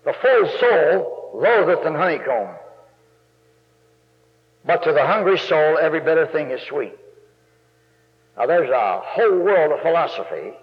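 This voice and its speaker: Gravelly-voiced preacher in a reverberant church. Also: male voice, sing-song, measured pace, long pauses. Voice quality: gravelly bass voice. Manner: folksy and rambling, rising to loud emphatic preaching. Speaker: guest preacher. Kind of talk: sermon. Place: church sanctuary. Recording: muffled recording in a long-reverb church.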